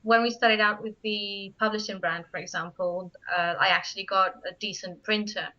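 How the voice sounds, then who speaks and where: A woman's voice: mid-range voice, steady tone, normal speed, bright, forceful tone. A woman from Spain, a native Spanish speaker, answering interview questions about her writing with frequent hesitant restarts. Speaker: guest writer. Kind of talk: radio interview. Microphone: studio microphone. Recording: muffled radio studio audio.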